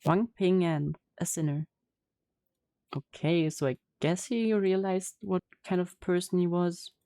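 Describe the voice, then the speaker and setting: A woman's voice: lowish voice, fairly even intonation, a little slow, dark tone, dry recording. A woman streamer giving a casual game reaction, chatting and reading game text aloud. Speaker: gaming streamer. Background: faint game music.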